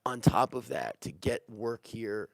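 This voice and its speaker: A man's voice: on the low side, expressive, unhurried, gravelly, no echo. A man who is sick and losing his voice, answering viewer questions about living abroad in a casual, rambling way. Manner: rambling, many restarts and fillers. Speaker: real estate agent answering viewer questions. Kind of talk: casual Q&A livestream.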